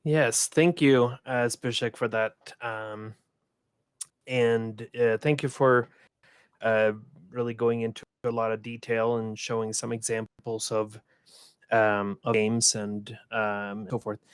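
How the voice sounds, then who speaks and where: A man's voice: fairly low pitch, level tone, slow, clean tone, no echo, clean studio sound. A man from Sweden moderating a conference panel, speaking thoughtfully with many restarts and repeated words.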